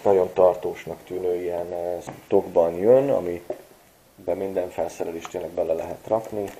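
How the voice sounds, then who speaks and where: A man in his forties giving a relaxed, chatty gadget review, pausing often with filler sounds, recorded on a camera mic. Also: sits low, slight background noise. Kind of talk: casual product review vlog.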